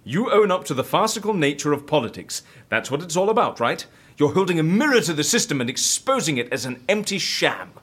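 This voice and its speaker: Comedy drama sidekick: wry, amused man, slightly high, hugely animated delivery, egging on his friend. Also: brisk. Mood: exasperated. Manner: animated, incredulous, teasing. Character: cynical, amused male friend egging on a politician. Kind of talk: audio comedy drama acting.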